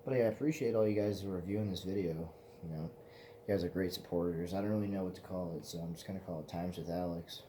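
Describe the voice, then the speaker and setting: A man's voice: sits low, steady tone, fast, warm tone, a little hiss or hum. A man in his twenties, hosting his own vlog in a loose, profane, meandering casual chat. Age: 20s. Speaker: vlog host.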